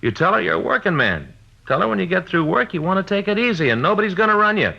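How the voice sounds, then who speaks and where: A man's voice: high voice, big pitch swings, fast talker, creaky, sharp tone, exaggerated voice. An actor in a 1950s radio drama playing a chatty, cocksure middle-aged American detective, folksy and insistent with his advice. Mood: insistent, encouraging. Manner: folksy, insistent, know-it-all. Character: cocksure, chatty married male police detective giving advice. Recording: dull, band-limited old radio recording.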